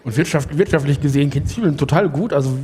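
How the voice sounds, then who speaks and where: A man's voice: fairly high pitch, fast talker, a little mellow, a little hiss or hum, lightly acted. A man playing an eager, boastful bank employee in an improvised comedy radio play. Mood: salesy, eager. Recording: echoey hall with long reverb.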